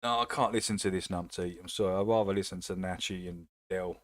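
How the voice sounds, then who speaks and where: A man's voice: sits low, fairly even intonation, a little fast. A man hosting a live stream reaction, talking casually and bluntly and mocking the people he watches. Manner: blunt, mocking, laughs often.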